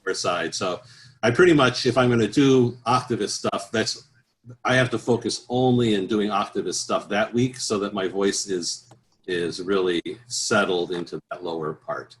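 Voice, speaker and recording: A man giving relaxed, easygoing interview answers as a guest choral bass singer, with frequent restarts and filler sounds. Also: normal speed. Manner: easygoing, many restarts and "uh"s, chuckles at his own stories.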